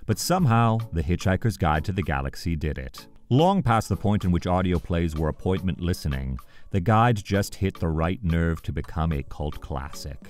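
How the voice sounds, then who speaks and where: A man's voice: low-pitched, darkish tone. A man hosting a scripted video countdown, narrating with enthusiasm and emphatic phrasing. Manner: enthusiastic, emphatic list-reading. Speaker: video host. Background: background music.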